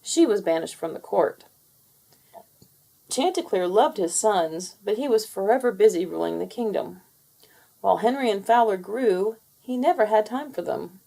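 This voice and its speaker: Brief: woman storybook narrator, expressive read-aloud, gravelly texture. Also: mid-range voice, moderate pace. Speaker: storybook reader.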